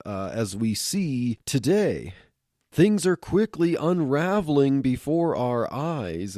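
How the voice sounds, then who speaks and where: A man's voice: mid-range voice, warm tone, no room sound, studio quality. A man hosting a podcast, speaking conversationally in a solo introduction while getting over a head cold. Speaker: podcast host.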